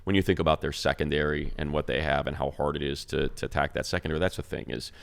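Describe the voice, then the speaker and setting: A man's voice: a bass voice, steady tone, quick pace, vocal fry. A man with an American accent giving casual football analysis as a co-host on a sports podcast.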